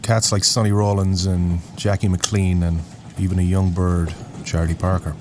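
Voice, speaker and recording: A man narrating a scripted dramatic story in a solemn, poetic, deliberate way. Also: low voice, flat delivery, a little mellow, slight background noise. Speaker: narrator, host of a story series. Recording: music bed under the voice. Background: music.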